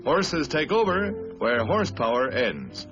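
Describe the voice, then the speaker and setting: A man's voice: medium pitch, wildly swooping pitch, unhurried. An American man reading scripted 1950s newsreel narration in an emphatic, grandiose manner. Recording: dull vintage 1950s newsreel soundtrack. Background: orchestral newsreel music.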